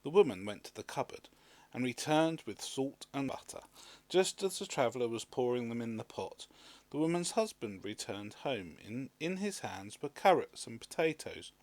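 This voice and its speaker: A man narrates a storybook in a mid-pitched voice with very lively intonation. The delivery is expressive and scripted, and the recording is close and dry. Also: moderate pace, dry recording. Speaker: storybook reader.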